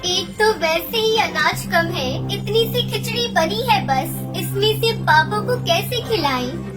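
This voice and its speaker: Devoted little wife bird played by a woman, extremely high voice, plaintive, cartoon fable with music. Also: expressive, slow, exaggerated voice. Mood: doubtful. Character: devoted, worried young wife bird, female. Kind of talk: cartoon moral story acting.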